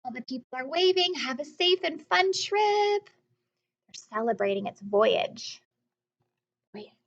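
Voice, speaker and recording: Lady teacher doing a kids read-aloud, high voice, takes it slow, repeats key words, bit muffled recording. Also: lively intonation. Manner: instructive, asks rhetorical questions, repeats key words. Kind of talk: read-aloud lesson for young children, with explanations.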